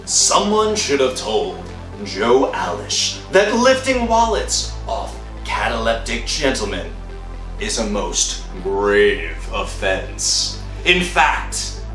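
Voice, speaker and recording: A man's voice: on the low side, big pitch swings, takes it slowly, a bit bright, soft background music, heavily acted. A man playing a ghoulish, sardonic horror host who savours macabre puns in a 1960s radio show. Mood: gleefully macabre. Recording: old radio recording, echoey.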